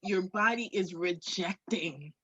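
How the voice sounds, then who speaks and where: A woman's voice: on the low side, slow, fairly bright tone, breathy edge. A woman in candid, casual podcast conversation, frank and reflective, swearing freely. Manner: frank, uses profanity, reflective. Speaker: podcast co-host. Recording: dull, muffled lo-fi audio.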